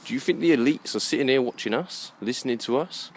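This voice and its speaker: Musing man, noisy outdoor recording. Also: medium pitch. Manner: musing, halting, self-questioning. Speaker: vlogger. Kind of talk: rambling walk-and-talk monologue. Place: outdoors, walking along a muddy alleyway.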